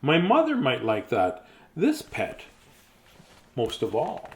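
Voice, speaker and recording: A man's voice: medium pitch, wildly swooping pitch, slow pace, slight background noise. A man reading a children's bedtime story aloud expressively, with playful, theatrical delivery and chatty, casual asides.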